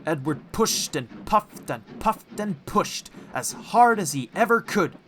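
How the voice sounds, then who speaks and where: Male children's audiobook narrator: deliberate, unhurried, highly varied intonation. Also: slight background noise. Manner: expressive, deliberate storytelling. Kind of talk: scripted children's story narration.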